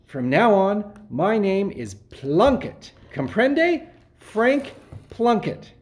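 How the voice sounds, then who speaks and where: Woman acting as scheming male bluffer, slow delivery, very animated intonation, evasive, bossy, stage farce. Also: mid-range voice. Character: wily male schemer and father improvising frantic cover-ups. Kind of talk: stage farce play reading.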